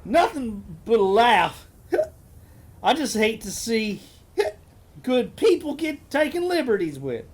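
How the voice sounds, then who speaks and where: A man's voice: very high-pitched, very animated, slow pace, husky, exaggerated voice. A man acting a wry, tippling old-west train passenger with a folksy, needling drawl in an audio drama. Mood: tipsy, teasing.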